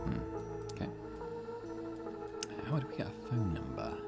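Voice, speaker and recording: A man's voice: rumbling low, huge pitch swings, measured pace, creaky, loud music behind the voice. A man giving casual let's play commentary as a gaming host, musing aloud as he goes.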